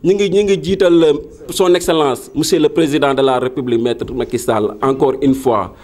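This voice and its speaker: A man's voice: mid-range voice, fairly even intonation, a little fast, darkish tone. A man with a Senegalese accent making an impassioned statement to the local press, mixing Wolof and French and repeating his points. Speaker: protesting villager.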